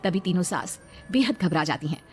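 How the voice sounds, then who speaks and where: A woman reading scripted narration for an animated family story. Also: fairly low pitch, brisk, slight background noise.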